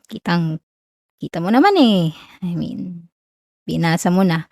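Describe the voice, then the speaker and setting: A young Filipino woman acting a whiny, shy tsundere college girl confessing love in a soft, hesitant ASMR roleplay. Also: mid-range voice, vocal fry, no room sound, studio quality. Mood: reluctant, tender.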